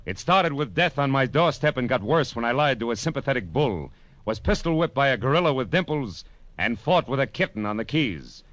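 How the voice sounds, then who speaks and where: A man's voice: medium pitch, very animated, fairly quick, dry recording. A man playing a wisecracking private detective in 1940s radio noir style, narrating and talking in a clipped, sardonic way. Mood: tough, ominous. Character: hard-boiled, wisecracking male private detective narrating his case. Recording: old-time radio with loud music.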